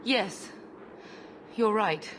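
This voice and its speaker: A woman's voice: medium pitch, very slow, a bit bright, a little hiss or hum. A woman playing an anguished, protective mother in a dramatic video game scene. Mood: grieving.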